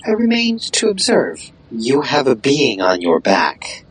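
A man voicing a mysterious otherworldly spirit in an audio drama, speaking in a deliberate, formal manner. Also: medium pitch, huge pitch swings, fairly bright tone, full character voice. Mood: eerie, knowing. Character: mysterious otherworldly observer spirit who strikes bargains. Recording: clear noisy ambience.

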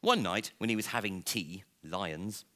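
A man reading a comic children's story aloud to listeners in a theatrical, playful way, with dramatic pauses. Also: sits low, sharp tone. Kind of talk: expressive live storybook reading.

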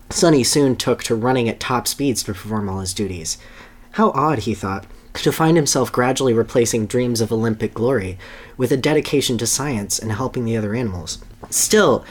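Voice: mid-range voice, moderate pace. A podcast host gives a dramatic reading of a story, with casual asides and an amused manner. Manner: amused, breaks into laughter while reading.

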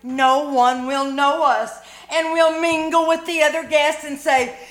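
A woman's voice: high-pitched, fairly even intonation, a little slow, fairly bright tone. A woman playing a dramatic, scheming young housewife in a 1940s-style radio comedy, with exaggerated theatrical delivery. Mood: eager, bossy. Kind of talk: 1940s radio comedy acting, live performance. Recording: roomy live stage pickup, noisy.

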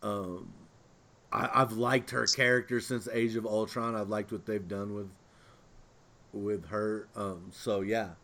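A man's voice: fairly low pitch, fairly even intonation, slow, pushed and bright. A man co-hosting a podcast, chatting casually about pop culture. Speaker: podcast co-host.

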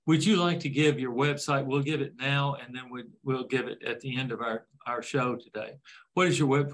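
An older man hosts a casual interview in a folksy, rambling way. His voice sits at an ordinary middle pitch, and he wanders through his questions with easy asides. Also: fairly bright tone, rough recording. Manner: folksy, rambling, jokes about parenting. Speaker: interview host.

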